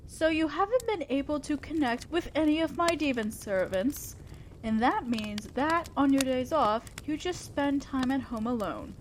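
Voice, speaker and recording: A woman's voice: high voice, slight background noise. A woman playing an imperious, old-fashioned female demon lord in an audio roleplay, speaking formally and with condescending concern to the listener. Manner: commanding, formal, measured, condescending yet concerned. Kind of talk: scripted roleplay monologue addressed to the listener.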